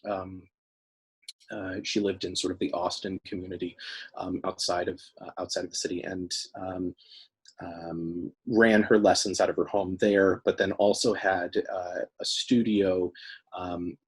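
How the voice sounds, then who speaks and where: A man with a fairly low, noticeably husky voice talks informally as an archive staff member. He explains things in a thoughtful, halting way, pausing often as he finds his words. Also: little pitch movement, poor audio. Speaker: music archive staff member giving an interview. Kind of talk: informal explanatory talk about archive items.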